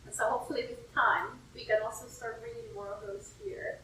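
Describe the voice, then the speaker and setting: A woman's voice: a little high, steady tone, a little fast, pushed and bright. A woman from Peru speaking as a curator in an informal interview, explaining things in simple, everyday terms. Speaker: university herbarium curator and plant taxonomist. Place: indoor herbarium room. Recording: echoey room, distant mic, faint noise.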